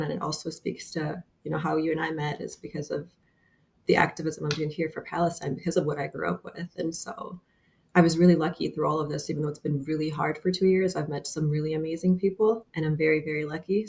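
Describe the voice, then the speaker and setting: Low, gravelly Bosnian-accented woman. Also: a little mellow. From Bosnia and Herzegovina. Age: 30s. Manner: earnest, with frequent fillers. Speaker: guest. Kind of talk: casual interview.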